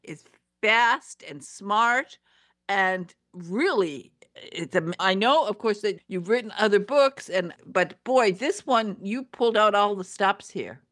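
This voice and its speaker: A woman's voice: mid-range voice, animated, slow pace, fairly bright tone, no echo. Emphatic woman interviewer, close dry sound. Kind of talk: conversational book interview.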